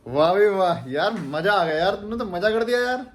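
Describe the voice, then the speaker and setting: A man's voice: high voice, expressive, normal speed. A man, a student vlogger, chatting casually with friends in a lightly scripted style. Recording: faint background noise.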